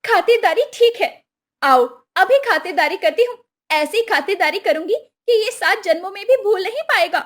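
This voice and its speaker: Woman acting a very shrill-high scolding village wife. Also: expressive, normal speed, fairly bright tone. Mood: vengeful. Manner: questioning, exclamatory. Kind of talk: Hindi cartoon moral story voice acting.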